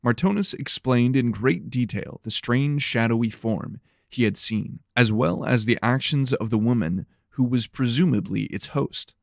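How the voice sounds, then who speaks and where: A man narrating a scripted fantasy horror story in a measured, serious storytelling manner. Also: mid-range voice, vocal fry, no echo, clean studio sound.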